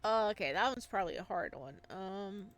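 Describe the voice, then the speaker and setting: A woman giving informal let's play commentary, reacting excitably and thinking out loud as she goes. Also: mid-range voice, brisk. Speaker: gaming video creator playing a visual novel. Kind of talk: let's play commentary, reading dialogue aloud.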